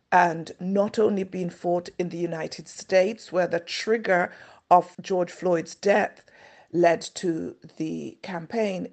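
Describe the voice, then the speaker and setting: An older woman, a grandmother, speaking slowly and earnestly as an interview guest. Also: fairly low pitch, gravelly, darkish tone. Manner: slow, measured, earnest. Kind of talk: reflective interview answer.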